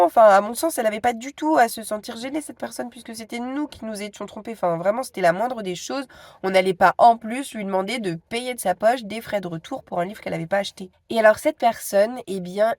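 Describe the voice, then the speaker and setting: A woman's voice: medium pitch, a little mellow, no room sound, studio quality. A woman in her thirties with a cold, chatting casually to her phone camera at home, hesitant and full of asides. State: stuffy with a cold. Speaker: vlogger.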